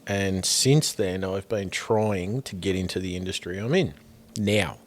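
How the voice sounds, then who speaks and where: A tired Australian man with a hoarse voice, hosting a casual podcast chat with upbeat banter. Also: low-pitched, measured pace. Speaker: podcast host.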